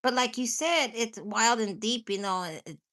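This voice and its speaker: A woman with a stuffy nose talks loosely to her live chat, hopping from thought to thought and dropping the odd swear. Her voice sits a little high and rings bright and forceful. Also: lively intonation, normal speed. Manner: rambling, laughs often, swears casually. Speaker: livestream host chatting with viewers.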